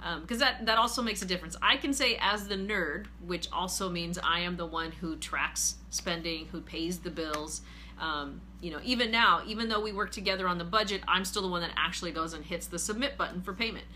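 A woman in her forties hosting a casual money-advice talk from her living room couch, earnest and steady. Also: fairly low pitch, brisk, slight background noise. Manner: earnest, steady, reads viewer comments aloud. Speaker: host, finance group leader.

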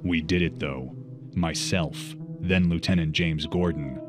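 A man playing a brooding, grim masked vigilante in a comic dub, speaking in a terse, grave, measured way. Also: rumbling low, over music. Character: brooding grim masked vigilante detective narrating his thoughts.

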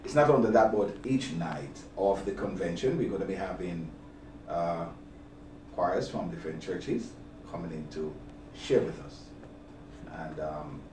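Older male bishop, halting and deliberate interviewee, distant mic in an echoey room. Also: deep voice, lively intonation. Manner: deliberate, halting, repeats words. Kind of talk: interview, inviting the public to an event. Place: small office, seated at a desk. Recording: roomy, distant mic, clear background noise.

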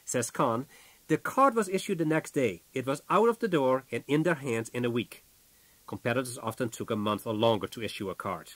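A man's voice: medium pitch, lively intonation, a little fast, a bit bright, no echo. Dry-sounding man narrating an audiobook. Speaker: author reading own audiobook.

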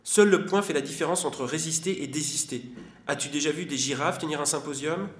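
A man's voice: fairly high pitch, brisk, close to an everyday voice. Man reading as a grumpy clawed monster. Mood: defiant. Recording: echoey room.

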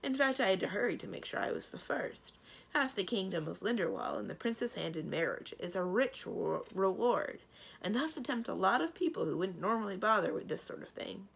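A woman's voice: medium pitch, big pitch swings, fairly quick, gravelly, slightly rough, full character voice. A woman voicing a polite, inexperienced young male knight in a storybook, hesitant and bewildered. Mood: mild wonder. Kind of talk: storybook character dialogue.

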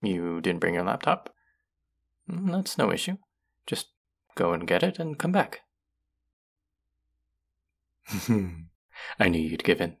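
Casting: male voice actor as a playful young barista, low gravelly voice, lively teasing delivery. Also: slow pace, pauses often, heavily acted. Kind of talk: audio roleplay for a listener.

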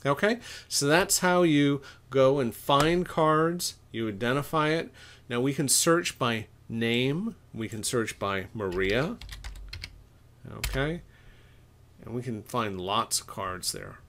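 Man, middle-aged, medium pitch, tutorial presenter, informal, explanatory, step-by-step narration, thinking aloud. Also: slow pace, a bit bright, a little hiss or hum. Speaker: laundry equipment expert, tutorial presenter.

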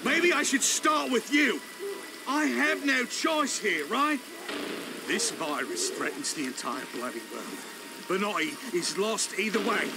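An actor playing a desperate, agitated military colonel in a video game cutscene, threatening and cornered. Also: male voice, sits high, animated, unhurried, vocal fry, background noise, exaggerated voice. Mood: desperate, defiant. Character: desperate cornered military colonel, unhinged over his wife.

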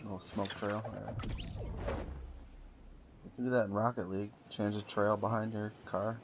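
A man's voice: on the low side, level tone, normal speed. A man playing an online game, giving casual, slangy and profane callouts to his teammates. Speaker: video game podcast member playing online. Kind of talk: casual gaming chat with team callouts. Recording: faint noise and clicks on voice chat.

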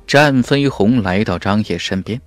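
A man's voice: lowish voice, a little slow, faint music behind. A man reading a fantasy audiobook as narrator in a dramatic storytelling style. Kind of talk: dramatic scripted narration of a fantasy web novel.

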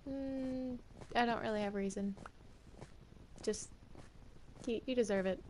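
A woman's voice: a little high, unhurried, a little mellow, a little hiss or hum, close to an everyday voice. A woman voicing a bossy, menacing female villain in an improvised game roleplay, curt and threatening. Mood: mean, dismissive.

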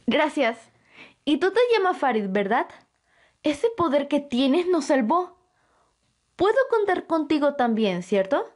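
A very high, lively voice for an excitable young girl played by a woman in an anime-style roleplay. She gushes and giggles, bold and bubbly, with big swoops in pitch. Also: measured pace, long pauses. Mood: bossy, coaxing. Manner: gushing, giggly. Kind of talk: amateur anime-style audio roleplay acting.

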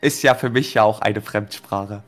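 A man's voice: lowish voice, normal speed, soft background music. Wry man from East Germany, roomy sound. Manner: wry, joking. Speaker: interviewee. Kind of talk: answering a survey question.

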